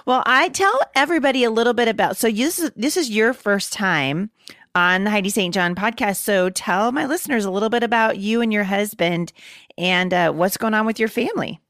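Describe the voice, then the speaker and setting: A woman's voice: mid-range voice, fairly quick, fairly bright tone, no echo, studio quality. A woman from the Portland area hosting a podcast, chatting casually with a guest and laughing easily. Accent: Portland, Oregon. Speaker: podcast host.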